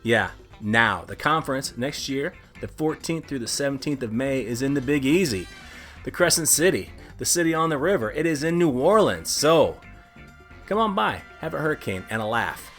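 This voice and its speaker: A man's voice: mid-range voice, expressive, moderate pace, a bit bright. A man hosting a podcast, speaking in a casual promotional style with playful, showman-like emphasis. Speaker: podcast host. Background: faint music.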